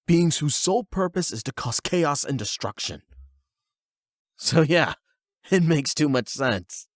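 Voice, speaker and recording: A man's voice: on the high side, animated, unhurried, dry recording, clean studio sound, voice pushed up. A man voicing a bitter, self-loathing young delinquent who is half demon, brash, sweary and defensive, in an anime audio roleplay. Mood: agitated, self-loathing.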